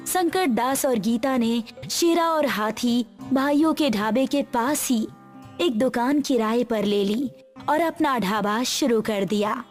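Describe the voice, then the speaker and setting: Female narrator for a moral tale, high-pitched and measured, over soft music. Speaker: story narrator. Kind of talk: scripted moral-story narration.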